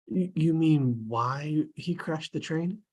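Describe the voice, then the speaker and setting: An actor playing an earnest, thoughtful, preoccupied boy at a screenplay table read, hesitant and husky. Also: mid-range voice, slow, darkish tone.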